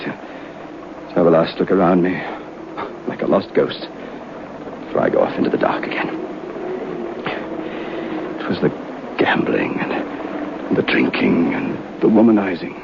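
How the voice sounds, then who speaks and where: A ruined gentleman master, gloomy and reckless, speaks in a low, brooding theatrical voice. The sound is a muffled vintage radio recording with clear background noise. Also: heavily acted. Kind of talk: old-time radio drama acting.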